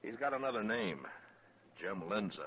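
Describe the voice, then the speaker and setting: An American actor playing a steady, watchful US marshal in a 1950s radio western, speaking in a laconic, measured way. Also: male voice, on the low side, slight background noise, lightly acted. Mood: firm, cautioning. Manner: laconic, measured, plain-spoken. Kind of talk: 1950s radio drama acting. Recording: muffled vintage 1950s radio recording.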